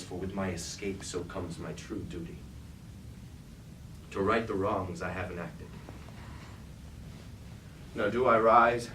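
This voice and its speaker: A brooding, commanding robot warlord played by a man in a stage tragedy, speaking in formal, archaic declamation. The voice is low and sounds roomy, with clear noise behind it. He is grandiose and resolute throughout. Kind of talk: staged reading of mock Greek tragedy. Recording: roomy, distant mic, clear background noise.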